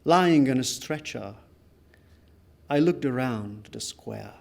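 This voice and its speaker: Guy doing a dramatic literary reading, really slow and grave, lots of heavy pauses, medium pitch. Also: expressive, creaky, darkish tone. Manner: solemn, deliberate, with emphatic pauses and repetitions. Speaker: reader at a book launch. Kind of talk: dramatic literary reading of a first-person memoir.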